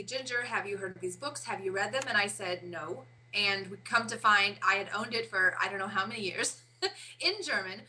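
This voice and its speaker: A woman in her forties, an interview guest, talking haltingly and often pausing to search for words. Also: medium pitch, bright, forceful tone, slight background noise, rough recording. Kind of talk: casual interview chat.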